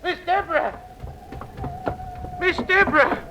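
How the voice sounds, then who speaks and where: An actor playing a frightened, deferential house servant with folksy dialect in old radio acting. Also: male voice, very high-pitched, slow, noisy background. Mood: panicked.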